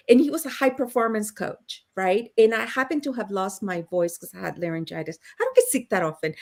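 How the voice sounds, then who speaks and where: A woman hosting a casual panel chat on a video call, telling stories with frequent laughs. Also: medium pitch. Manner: chatty, laughing storyteller. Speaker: host.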